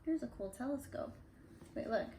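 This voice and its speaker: A woman with a fairly high voice reads a children's book aloud. She slips between the text and chatty asides to a child. The room is echoey, with faint noise behind her. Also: animated, normal speed, dark tone. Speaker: parent reading storybook aloud. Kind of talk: casual read-aloud story time for kids. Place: indoors at home. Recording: roomy echo, clear background noise.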